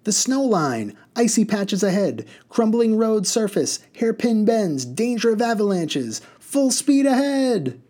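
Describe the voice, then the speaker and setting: A man's voice: a little high, expressive, unhurried, pitched above the performer's own voice. A man doing a gleeful, bellowing reckless driver. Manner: loud, bellowing, sing-song, rambling riddles. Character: reckless gleeful male bus driver, boastful.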